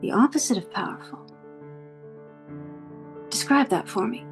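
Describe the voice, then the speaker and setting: A woman acting as an eerily composed, menacing interrogator in a script table read, speaking formally and deliberately. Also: fairly high pitch, natural voice. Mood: coolly probing. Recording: music playing behind the voice.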